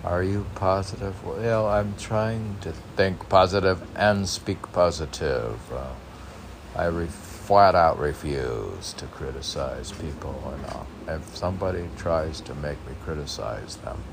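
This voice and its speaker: Very low, slow-talking man reading poetry. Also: creaky. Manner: rambling, wry, talking back to himself. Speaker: poet reading own work. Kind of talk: reading short poems aloud with casual self-questioning commentary. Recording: noticeable background noise.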